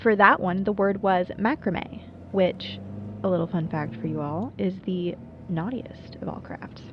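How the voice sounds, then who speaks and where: A woman's voice: lowish voice, unhurried, highs cut off, noisy background. A woman playing a wry, playful small-town late-night radio host in a scripted monologue, chatty and dryly self-deprecating. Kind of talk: scripted radio show monologue, audio roleplay.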